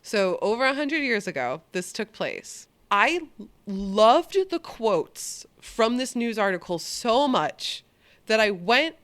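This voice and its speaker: Chatty woman podcast co-host, swears casually, lively animated pitch. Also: takes it slowly. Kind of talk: casual chat.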